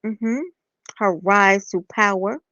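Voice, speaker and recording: A woman, slightly hoarse, talking softly and casually to viewers on a live stream from bed. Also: mid-range voice, steady tone, slow, no echo, muffled recording. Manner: soft-spoken, talks straight to viewers as y'all and honey.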